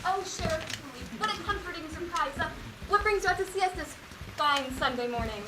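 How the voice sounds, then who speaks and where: A young woman playing a dotty, doting mother in stage comedy, fussy and mock-genteel. The voice sits high and carries from a distance, with clear noise around it. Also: animated, a little fast. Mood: feigned innocence. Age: teen. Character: dotty, doting wife and mother in stubborn denial. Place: school theatre stage. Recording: echoey noisy stage, distant mic.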